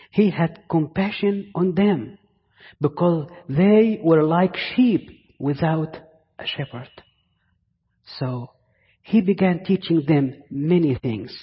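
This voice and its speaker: Slow Egyptian-accented male preacher in a reverberant hall. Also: a little high. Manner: deliberate, with pauses and repeated phrases for emphasis. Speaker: guest preacher. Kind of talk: sermon to a congregation. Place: large indoor church space. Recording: muffled, reverberant church hall sound.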